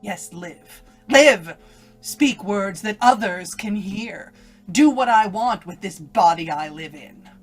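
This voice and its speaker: A woman's voice: mid-range voice, lively intonation, takes it slowly, faint music behind. A woman playing an evil, domineering inner voice in an old-time radio melodrama, taunting and commanding with menace. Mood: fierce, demanding. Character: evil inner voice, a domineering female alter ego.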